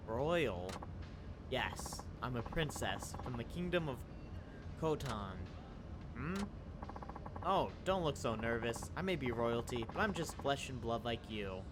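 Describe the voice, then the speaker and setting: A man's voice: mid-range voice, background noise, close to an everyday voice. A man reading aloud the lines of a haughty young woman who claims to be a runaway princess, in formal, stiff phrasing, over game music.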